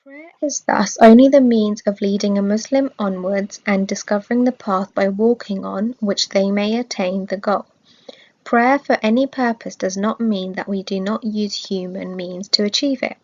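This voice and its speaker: A woman reading a scripted religious study in a measured, clearly phrased manner. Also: mid-range voice, darkish tone, dull audio. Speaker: reader of religious study text.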